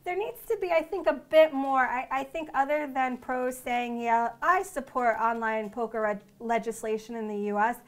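A woman's voice: high-pitched, normal speed, few pauses. A young woman co-hosting a casual discussion in an office, chatty and often restarting her sentences. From New York. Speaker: co-host. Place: open-plan office, indoors.

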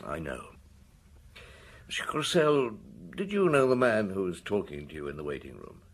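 A probing police detective in a radio drama, low and husky. He is deliberate and understated, with sympathy under the questions and a widely moving melody to his speech. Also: sing-song, heavily acted. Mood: measured. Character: measured, probing, sympathetic male police detective, also narrating.

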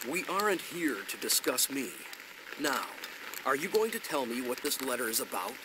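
An actor voicing a stern, haughty elf lord in a video game, formal, authoritative and disdainful. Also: male voice, medium pitch, sing-song, normal speed, background noise, natural voice. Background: loud music.

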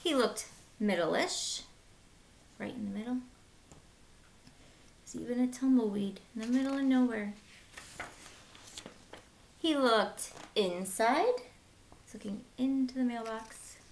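A woman in her thirties reading a picture book aloud as a teacher, with simple, deliberate phrasing for young children and short asides. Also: fairly high pitch, vocal fry, darkish tone, slight background noise. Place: small room at home, indoors.

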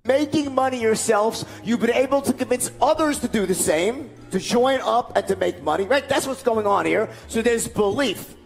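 A man's voice: very high-pitched, expressive, moderate pace, gravelly, hardly any pauses, faint music behind. A middle-aged man giving a motivational stage speech into a headset mic, emphatic, repetitive and rhetorical. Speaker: motivational speaker. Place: large event stage indoors. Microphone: headset microphone.

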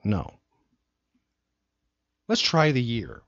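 A man hosting a podcast in casual banter with a co-host, talking in an animated, teasing way and repeating himself for emphasis. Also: medium pitch, very slow, creaky, dry recording, lo-fi sound.

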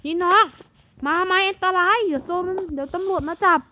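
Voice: extremely high pitch, fast talker. A gamer chatting casually through game role-play, talking like a bossy older sibling to a small child. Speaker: gaming video host. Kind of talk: casual Minecraft gameplay chat with role-play. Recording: clearly noisy home recording with clicks.

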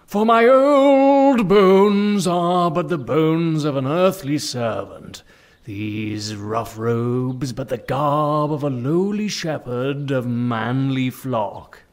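An actor playing a humble, pious old friar who preaches in mock-archaic speech in a comic audio drama. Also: male voice, medium pitch, lively intonation, slow. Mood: pious, grovelling.

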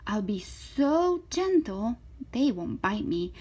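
A woman's voice: medium pitch, very animated, takes it slowly, a little mellow, husky, pitched above the performer's own voice, heavily acted. A woman voicing a confident, defiant little girl from a children's storybook, emphatic and eager. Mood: proud, confident.

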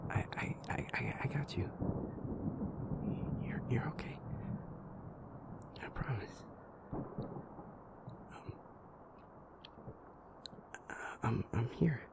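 A man playing a shy, anxious, gentle young boyfriend in an audio roleplay, stammering, hesitant and apologetic in a soft-spoken way. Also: mid-range voice, lively intonation, slow pace, creaky, pauses often, in a whisper. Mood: tender, soothing.

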